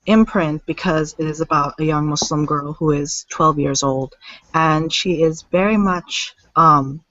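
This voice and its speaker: A woman author from the United States talking casually on an online panel, full of fillers and quick self-corrections. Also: low voice, a bit bright, dry recording. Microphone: video call. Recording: dull lo-fi online call audio.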